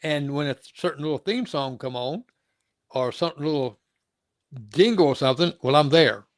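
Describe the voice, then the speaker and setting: A man's voice: a little high, moderate pace, no echo, studio quality. A middle-aged or older man hosting a casual interview, talking in a rambling, folksy way and stammering over repeated words. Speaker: show host interviewing a guest.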